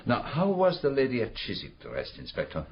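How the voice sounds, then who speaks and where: An actor playing a shrewd, courteous, playful foreign detective in a radio drama, with polite, formal phrasing. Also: male voice, mid-range voice, huge pitch swings, normal speed. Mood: probing, courteous. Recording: dull lo-fi radio drama audio.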